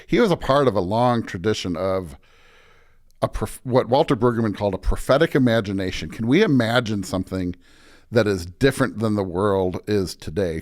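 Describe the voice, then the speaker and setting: A man talking as a pastor in an interview, earnest and emphatic, often building his points through repeated phrases. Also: fairly low pitch. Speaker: pastor, podcast guest. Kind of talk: conversational interview talk with preacherly stretches.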